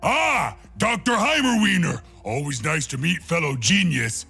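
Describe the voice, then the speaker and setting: Game brute, self-styled doctor: dim, crazed, booming, broken grammar. Husky and slow, over music. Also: male voice, very animated, pushed and bright, full character voice. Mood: delighted. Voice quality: gravelly, raspy. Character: big crazed dim-witted brute who believes he is a doctor. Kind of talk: video game character voice lines.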